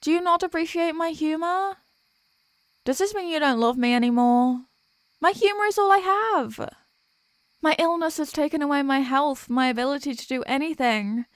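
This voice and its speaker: Woman voicing a theatrical high-voiced vampire girlfriend. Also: normal speed, dry recording, clean studio sound, lightly acted. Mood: mock wounded. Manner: theatrical, pleading, mock-tragic. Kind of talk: scripted roleplay audio monologue.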